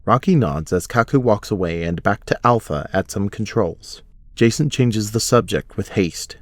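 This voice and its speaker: A man's voice: fairly low pitch, animated, normal speed, no echo. A man reading scripted narration for an audio drama.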